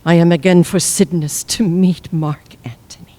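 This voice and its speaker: A woman's voice: on the low side, fairly even intonation, moderate pace, warm tone, lightly acted. A woman playing a grieving, regal Egyptian queen resolved on death, in stately, impassioned classical Shakespearean verse. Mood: grave. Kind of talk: classical Shakespearean stage acting.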